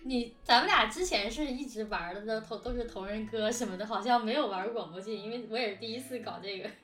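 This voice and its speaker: A woman's voice: fairly high pitch, fast talker, fairly bright tone. A woman hosting a casual interview chat, speaking in a giggly, teasing manner. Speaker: host interviewing production team. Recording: roomy, distant microphone, music behind. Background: background music.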